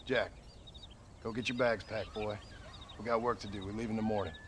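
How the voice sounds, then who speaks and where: An actor playing a gruff, dry-spoken reformed outlaw rancher and father with an American Western accent in a video game. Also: fairly low pitch, steady tone, a little fast, fairly bright tone, a little hiss or hum, natural voice. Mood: stern, irritated. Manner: dry, terse. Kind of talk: video game cutscene acting.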